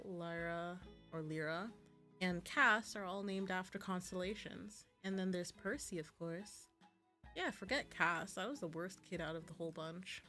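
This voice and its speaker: Nerdy, laid-back college boy read by a woman, game dialogue, bright casual delivery over music. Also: on the low side. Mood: chatty, fond. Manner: slangy, casual, animated. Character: young male astrophysics student, nerdy, easygoing, teasing. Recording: music playing behind the voice.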